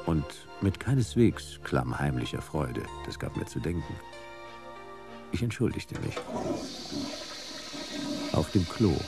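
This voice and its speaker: A laconic private eye in a radio crime play. His very deep, gravelly voice rises and falls freely. He delivers short, dry, wry sentences. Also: heavily acted. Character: laconic, wry hard-boiled male private detective narrating his case. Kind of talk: radio crime drama narration and dialogue.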